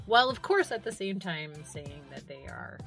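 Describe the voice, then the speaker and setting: A woman co-hosting a podcast in casual chat, with an animated, irreverent and sarcastic way of talking that includes free swearing. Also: medium pitch, normal speed, music in the background. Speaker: podcast co-host.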